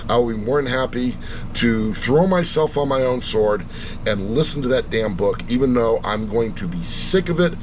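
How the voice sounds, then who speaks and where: A man's voice: mid-range voice, normal speed, rough recording. A middle-aged or older man giving a casual, rambling review in a wry, self-deprecating way, as the host of his own channel. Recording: steady loud air-conditioner-like background hum.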